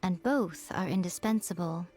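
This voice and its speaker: A woman's voice: lowish voice, darkish tone, soft background music, lightly acted. Woman as a sly, teasing shrine keeper. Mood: composed. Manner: playful, knowing, articulate, measured. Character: sly, teasing adult female shrine keeper, self-assured. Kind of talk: video game voice acting.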